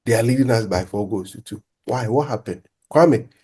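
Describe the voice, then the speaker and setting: A man hosting a casual football chat show, excitable and animated, quick to laugh. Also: fairly low pitch, breathy edge. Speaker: podcast host.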